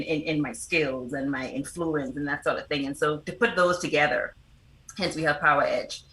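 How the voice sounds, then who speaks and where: Low-voiced woman in an echoey room. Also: bright, forceful tone. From the Bahamas. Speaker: interview guest, foundation representative and motivational speaker. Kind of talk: conversational interview answers. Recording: roomy, echoing sound.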